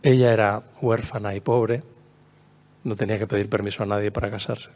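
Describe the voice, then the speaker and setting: A man's voice: fairly low pitch, steady tone, fairly quick, darkish tone. Discursive Castilian Spanish man lecturing. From La Mancha, Spain. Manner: discursive, repeats phrases, checks in with "¿no?". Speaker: conference speaker, poet. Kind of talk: literary lecture, storytelling asides.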